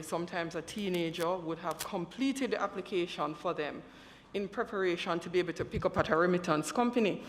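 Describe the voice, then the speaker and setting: A Jamaican woman, a politician, speaking at a committee hearing in deliberate short phrases. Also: on the low side, slight background noise. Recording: echoey meeting room.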